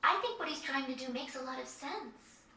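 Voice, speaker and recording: A woman acting a gentle, loyal young woman in a video game, polite and earnest. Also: high-pitched, lively intonation, normal speed, heavily acted. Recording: echoey, distant, over faint game music.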